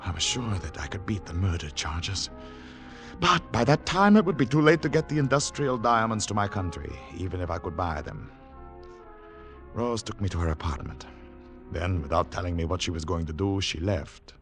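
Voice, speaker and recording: An actor playing an earnest foreign agent on the run, speaking gravely and dramatically in 1940s radio style. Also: male voice, sits low, sing-song, normal speed, fairly bright tone, soft background music, heavily acted. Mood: grave, tense.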